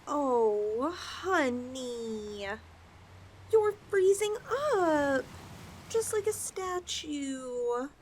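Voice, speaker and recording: Woman acting a slow, high-voiced possessive lamia girl. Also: expressive, a little hiss or hum, heavily acted. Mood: teasing, menacing. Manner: coy, cooing, drawn-out delivery. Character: possessive, teasing young yandere lamia girl, half snake. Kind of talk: ASMR audio roleplay acting.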